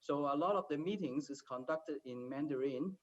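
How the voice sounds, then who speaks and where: A man on a career panel, speaking haltingly with many filler sounds. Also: on the high side. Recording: distant muffled remote audio.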